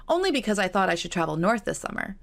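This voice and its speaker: A woman voicing a sensible, gently firm young Regency gentlewoman in an audiobook. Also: medium pitch, very animated, quick pace, gravelly. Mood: explaining. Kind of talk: audiobook character dialogue.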